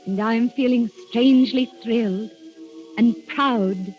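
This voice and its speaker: Woman playing dreamy young noble lady, high courtly voice, old muffled radio play from the 30s. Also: measured pace, darkish tone, soft background music, lightly acted. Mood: dreamy, enchanted. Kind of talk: 1930s radio drama acting. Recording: muffled, sizzling 1930s radio recording.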